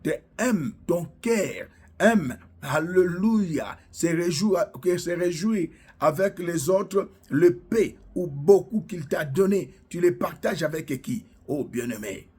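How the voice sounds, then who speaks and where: Slow husky-voiced preacher. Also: male voice, sits high, animated, a little mellow. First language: Lingala. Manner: fervent, punctuates lines with alleluia; slow, drawn-out declaring delivery with repetitions. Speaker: preacher and self-styled prophet. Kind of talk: prophetic sermon with declarations.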